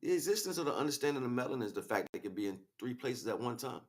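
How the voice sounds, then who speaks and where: A man's voice: medium pitch, very animated, rapid-fire. A man improvising a spiritual lecture as a teacher, speaking emphatically, repeating key words and checking in with rhetorical questions. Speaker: spiritual teacher and livestream host.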